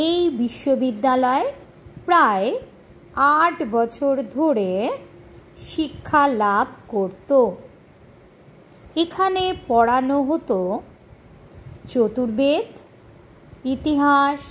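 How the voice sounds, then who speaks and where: A woman dictates a question-and-answer lesson to schoolchildren in a deliberate, measured teaching manner. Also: high-pitched, poor audio. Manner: deliberate, spelling out punctuation as dictation. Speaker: history tutor for schoolchildren.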